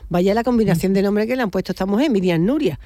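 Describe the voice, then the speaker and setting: A woman's voice: mid-range voice, fast, mellow, dry recording, studio quality. A woman hosting a radio show, chatty and playful with her guests. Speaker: radio host. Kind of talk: lively radio chat.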